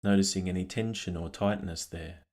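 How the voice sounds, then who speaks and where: Very deep-voiced man guiding meditation calmly. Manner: calm, gentle, unhurried with long pauses. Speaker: meditation guide. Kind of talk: guided meditation, scripted narration.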